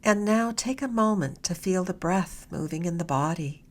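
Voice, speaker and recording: Female meditation guide, slightly low register, soft and a touch breathy, gentle unhurried delivery with long pauses. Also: animated. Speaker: meditation guide, mindfulness mentor.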